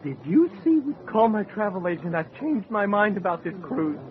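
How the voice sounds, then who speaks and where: Brash jokey sidekick sailor, high lively voice, old radio play, muffled and noisy. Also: male voice, moderate pace. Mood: alarmed grumbling. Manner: flippant, excitable, quipping. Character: brash, joking male sidekick, reluctant sailor. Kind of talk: old-time radio comedy-horror acting. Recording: muffled radio recording, clear noise.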